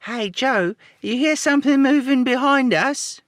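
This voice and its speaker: High, jumpy, spooked ambulance attendant. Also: male voice, measured pace, fairly bright tone, dry recording, clean studio sound. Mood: uneasy. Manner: stammering, dragged-out alarmed delivery. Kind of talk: old radio serial acting.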